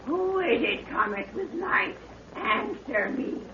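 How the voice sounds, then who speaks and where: A woman playing an eerie, hostile old hermit woman in a 1930s radio drama, with a drawn-out wailing voice and archaic, challenging speech. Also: sits high, very animated, bright, forceful tone, echoey room, exaggerated voice. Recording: muffled, noisy 1930s radio recording, distant.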